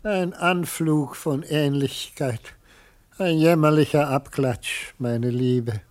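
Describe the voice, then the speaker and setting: A dismissive middle-aged father in a radio drama. His fairly high voice comes slowly and emphatically. Every phrase is disdainful. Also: a little mellow, dry recording. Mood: scornful. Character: scornful, dismissive father of the bride. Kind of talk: theatrical German radio drama acting.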